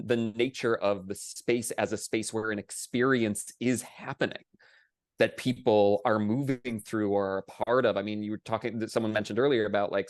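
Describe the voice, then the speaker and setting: A man with a fairly low voice talks on a panel over a video call, recorded close and dry. He is conversational and discursive, qualifying and restating his points as he builds them. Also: no room sound.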